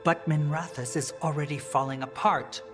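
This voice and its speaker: An actress playing a principled female magistrate and rebel leader in a video game, speaking in a firm, measured way. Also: sits low, steady tone, natural voice. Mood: concerned. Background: background music.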